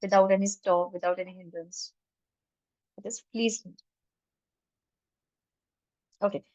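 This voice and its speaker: A woman's voice: mid-range voice, fairly even intonation, a little slow, warm tone, no room sound, highs cut off. A woman teaching a German class online, patiently explaining step by step and mixing English with German.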